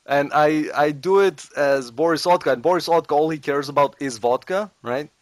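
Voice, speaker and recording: A man's voice: on the high side, moderate pace, no echo. Chatty man, dry sound. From Soviet Union. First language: Russian. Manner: animated, laughs often, loose grammar. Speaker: guest, let's player. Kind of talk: casual podcast chat.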